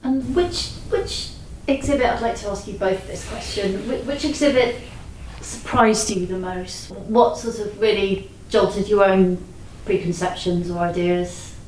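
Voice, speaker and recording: A woman's voice: mid-range voice, measured pace, darkish tone. A woman podcast interviewer asking questions in a conversational style. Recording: roomy, distant mic, noisy background.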